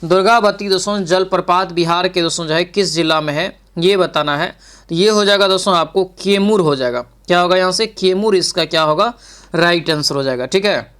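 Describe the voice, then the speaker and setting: A man's voice: sits high, fairly quick, fairly bright tone, smooth. A man giving a spoken quiz lesson as an exam coach, reading out questions and repeating answers directly to his learners.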